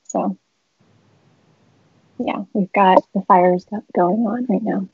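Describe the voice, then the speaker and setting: Slightly raspy young woman on video call. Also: medium pitch, fairly even intonation, slow, no room sound. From San Francisco Bay Area. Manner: rambling, many fillers and laughs. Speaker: interview guest, publisher. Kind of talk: casual video-call interview. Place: home room with shelves, indoors. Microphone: laptop webcam video call. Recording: muffled video-call audio.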